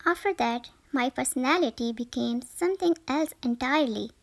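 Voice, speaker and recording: A woman reading a children's picture book aloud as a storyteller, with expressive, careful phrasing. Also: high voice, normal speed, creaky, no echo, soft background music.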